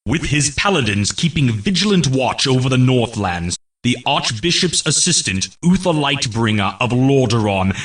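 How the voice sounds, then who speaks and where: A man playing a solemn military herald, narrating mission briefings for a fantasy game in a formal, measured, grave and declamatory way. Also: mid-range voice, fairly bright tone, distant mic, close to an everyday voice.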